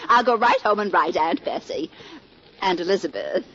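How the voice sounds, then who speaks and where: A woman's voice: mid-range voice, animated, normal speed, fairly bright tone, close to an everyday voice. An actress playing a meddling, sugary mother-in-law in a 1940s radio comedy, with affected, fluttery sweetness. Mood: sugary, apologetic. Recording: muffled, noisy 1940s radio, studio audience.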